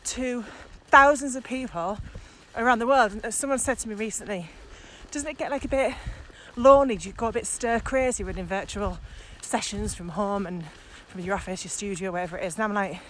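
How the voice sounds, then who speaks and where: Female podcast co-host, medium pitch, keen and emphatic, informal, recorded outside with slight ambient noise. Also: fairly quick, few pauses, fairly bright tone. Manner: enthusiastic, emphatic. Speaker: podcast co-host, virtual trainer. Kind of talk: casual chat. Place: outdoors, walking in the countryside.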